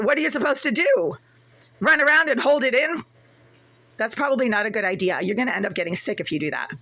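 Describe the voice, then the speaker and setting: Raspy, breathless woman ranting. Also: fairly high pitch, pushed and bright. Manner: agitated, relentless, repetitive listing. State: short of breath. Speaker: tenant recording a complaint. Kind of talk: angry first-person complaint vlog. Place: small apartment bathroom, then hallway.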